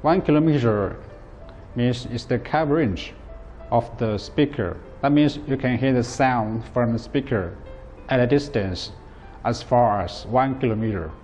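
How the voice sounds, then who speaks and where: A man in his thirties with a soft, dark-toned voice presents a product in non-native English. His delivery is plain and halting as he explains step by step, with faint music behind him. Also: medium pitch. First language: not English. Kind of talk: product demonstration. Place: indoor office at a table.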